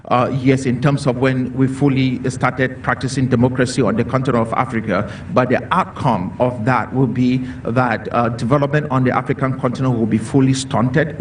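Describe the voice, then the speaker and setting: A man from Cameroon speaking as a panelist in a discussion, earnest and repetitive, with many fillers. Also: mid-range voice. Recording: distant mic with faint noise.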